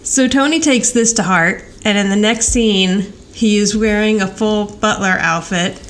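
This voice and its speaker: A woman's voice: medium pitch, measured pace. A woman co-hosting a podcast, casually and chattily recapping television. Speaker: podcast co-host.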